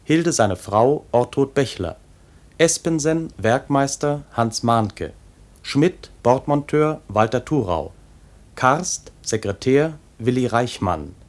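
Slow, formal male radio announcer. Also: mid-range voice, expressive. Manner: measured, deliberate. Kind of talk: formal cast list announcement.

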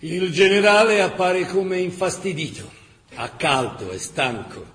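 A man narrating a dramatic historical chronicle in emphatic, measured radio-theatre style. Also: high voice, sing-song, a bit bright. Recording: echoey reverberant hall, faint noise.

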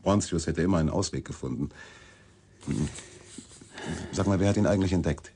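A man's voice: sits low, a little fast, mellow, a little hiss or hum, close to an everyday voice. A man playing a cynical, flippant newspaper reporter in a radio drama, with a sardonic way of talking. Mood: sardonic, musing. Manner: sardonic, wisecracking.

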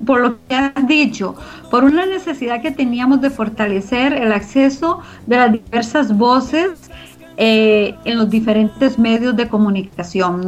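High-voiced middle-aged woman. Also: dull audio. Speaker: interview guest, organization founder. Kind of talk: conversational interview answers.

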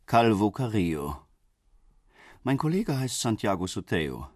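An actor playing a falsely civil, menacing police heavy in a radio crime drama, with a gravelly, husky voice. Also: male voice, on the low side, big pitch swings, a little slow, a little mellow, no echo, clean studio sound, heavily acted. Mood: smoothly menacing.

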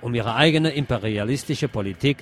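A man's voice: mid-range voice, fairly quick, soft background music. A man hosting a program, reading press articles aloud and adding brief comments of his own.

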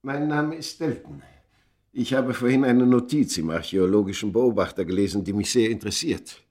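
A male actor playing a tormented, world-weary immortal from ancient Egypt in a radio play, speaking with grave, deliberate, literary delivery. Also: medium pitch, lively intonation, darkish tone, lightly acted. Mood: polite, urgent. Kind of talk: dramatic first-person radio play narration.

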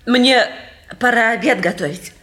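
An actress playing a timid, frightened wife of about thirty, meek and anxious, in 1950s Soviet stage style. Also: female voice, fairly high pitch, unhurried, fairly bright tone. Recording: 1950s theatre recording with audience noise.

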